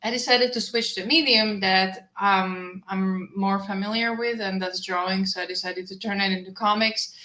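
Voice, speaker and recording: A woman's voice: mid-range voice, fairly even intonation, moderate pace, hardly any pauses, sharp tone. A woman whose first language is not English, a comic creator chatting as an interview guest, with many restarts and fillers. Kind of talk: casual interview chat. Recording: roomy echo.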